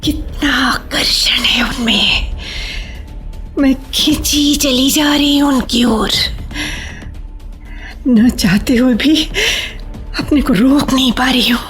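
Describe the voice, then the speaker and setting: A woman's voice: sits high, steady tone, slow, long pauses, fairly bright tone, soft background music. A woman acting in a Hindi radio play as a lonely, reserved woman with secret longing, hesitant and emotional, repeating her phrases. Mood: yearning, confessional.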